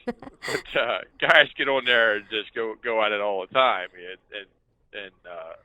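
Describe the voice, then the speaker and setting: A man's voice: lowish voice, a little slow, sharp tone, no echo. A middle-aged or older man, a veteran trucker, chatting as a guest in a folksy, anecdotal way. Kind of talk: casual anecdotal chat. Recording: thin, phone-like narrow audio.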